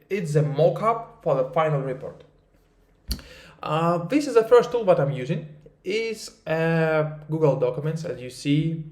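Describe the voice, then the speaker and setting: A man with a Russian accent, a native Russian speaker, teaching a screen-recorded tutorial in English. He talks haltingly, with many fillers and restarts. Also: fairly high pitch, darkish tone, reverberant. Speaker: marketing research instructor.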